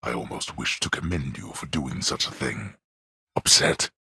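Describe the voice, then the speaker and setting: An actor playing a stern, imperious god of the underworld in a video game, pompous and disdainful in archaic, formal speech, with a gravelly, husky voice. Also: male voice, very deep voice, moderate pace, pushed and bright, no echo, exaggerated voice. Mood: dry contempt. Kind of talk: theatrical video game character acting.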